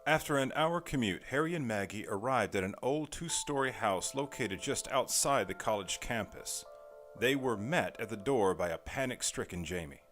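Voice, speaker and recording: A man's voice: mid-range voice, expressive, moderate pace, a bit bright. A man narrating a scripted audio drama as storyteller. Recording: music behind the voice.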